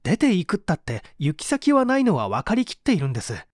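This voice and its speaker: A man's voice: high-pitched, fast, no room sound, studio quality, full character voice. A man reading dramatically as a bitter, despairing painter who gives testimony in a formal, confessional way. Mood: aggrieved. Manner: confessional, expressive, formal narrative delivery.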